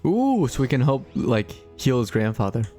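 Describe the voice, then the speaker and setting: A man's voice: medium pitch, big pitch swings, normal speed, warm tone, soft background music. A man giving casual live commentary as he streams a video game.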